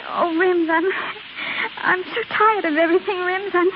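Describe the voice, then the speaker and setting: A tinny, hissing old radio recording of a young American office girl in a radio romance. Her voice is high, tender and wistful. She speaks haltingly, with a nervous coyness. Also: steady tone. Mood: emotional, hesitant. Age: young adult. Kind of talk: 1930s American radio drama acting.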